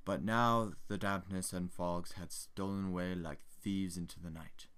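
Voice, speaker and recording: A man playing a haunted, melancholy older gentleman in a measured, formal dramatic stage monologue. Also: sits low, fairly even intonation, clean tone. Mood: brooding, reflective. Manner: measured, formal, brooding, theatrical.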